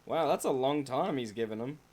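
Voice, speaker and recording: Man, chatty co-host, lively pitch, quick to laugh. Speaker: streamer co-host. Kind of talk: casual watch-along chat.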